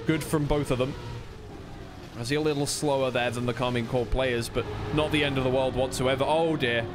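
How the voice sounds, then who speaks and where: A man with a mid-pitched voice calls a racing game match live as an esports commentator. His play-by-play runs over clearly audible background noise of vehicles and game ambience. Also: steady tone, a little fast.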